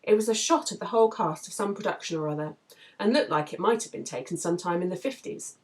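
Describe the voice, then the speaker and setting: A woman reading her own novel aloud in an expressive storytelling style, livelier when characters speak. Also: fairly low pitch, fast talker.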